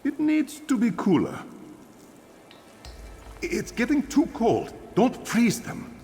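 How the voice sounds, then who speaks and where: An actor playing a friendly, chatty innkeeper and eager drink inventor in a fantasy video game, theatrical and animated. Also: male voice, very high voice, slow pace, vocal fry, slight background noise, heavily acted. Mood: attentive coaching. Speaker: innkeeper giving instructions. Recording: long reverb, music behind.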